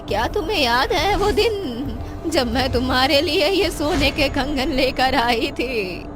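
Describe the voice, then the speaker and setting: A high, slow-spoken old mother, as a woman acts her out, frightened and full of remorse, her pleas trembling and broken. She is the grieving parent of a spooky cartoon tale. Music plays behind her. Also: lively intonation. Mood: pleading. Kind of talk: animated horror story voice acting.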